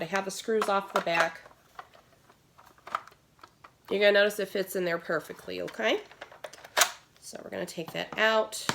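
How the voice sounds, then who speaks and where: Nasal, low-pitched American woman vlogger. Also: gravelly, fairly bright tone. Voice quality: nasal, congested. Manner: informal, rambling with asides. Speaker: gaming video host. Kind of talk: casual tutorial vlog. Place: small room at home, indoors. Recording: noisy home recording with handling clicks.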